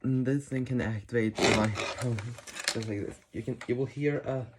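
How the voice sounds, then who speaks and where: Male how-to host, mid-pitched, halting informal delivery, noisy indoor recording with clicks. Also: fairly even intonation. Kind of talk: casual hands-on demonstration. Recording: noisy room with clicks and handling sounds.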